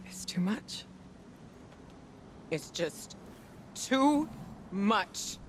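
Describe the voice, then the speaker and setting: A woman playing a grave, weary female mystic leader in a dramatic video game cutscene, speaking solemnly and deliberately. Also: fairly low pitch, slight background noise. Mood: anguished, bitter. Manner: solemn, deliberate, hushed at phrase ends. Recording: loud music under the voice. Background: loud music.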